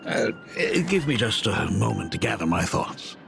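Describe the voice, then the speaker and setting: An actor playing a gentle, regretful middle-aged father in a video game, speaking earnestly and haltingly. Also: fairly low pitch, animated, creaky. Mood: awkward, hesitant. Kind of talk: dramatic video game voice acting. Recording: music under the voice.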